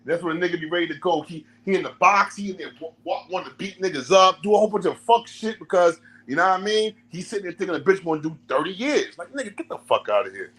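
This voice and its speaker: A man with a fairly high voice talking casually on a talk show. He tells stories in loose street slang and acts out quoted lines with energy. His delivery is lively and conversational. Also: fast, few pauses, slightly rough. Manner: animated, slangy storytelling with acted-out quotes. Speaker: podcast host. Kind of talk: casual chat.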